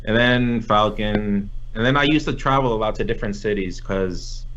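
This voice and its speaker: A man's voice: lowish voice, normal speed, fairly bright tone, a little hiss or hum. Young man telling stories in a rambling way. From Nicaragua. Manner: rambling, many fillers and restarts. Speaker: interview guest, competitive gamer. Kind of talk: casual conversational storytelling. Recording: slightly dull lo-fi audio.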